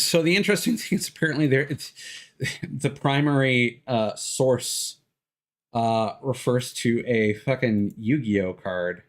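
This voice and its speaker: A man doing casual, rambling livestream commentary over a video game, thinking aloud as he goes. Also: medium pitch. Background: music behind the voice.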